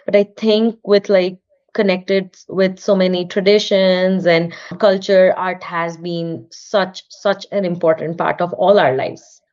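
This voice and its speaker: A young woman speaks with an Indian English accent, earnest and encouraging as she gives advice. Her voice sits a little low. The recording sounds slightly dull and muffled. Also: flat delivery, measured pace, a little mellow. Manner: earnest, encouraging, with frequent restarts. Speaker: podcast guest, artist and studio owner. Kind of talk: casual interview talk.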